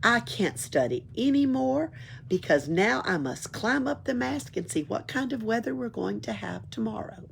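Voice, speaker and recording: A woman's voice: fairly high pitch, expressive, normal speed, creaky, slight background noise, pitched above the performer's own voice. A woman voicing a bold, impatient, playfully spooky young girl from a children's storybook, in a declamatory, teasing manner. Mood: impatient, defiant.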